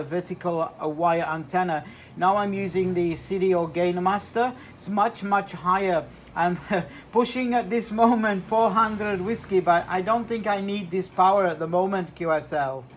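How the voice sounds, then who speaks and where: A man speaking as an amateur radio operator in a casual, halting two-way chat full of radio jargon. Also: high voice, slight background noise.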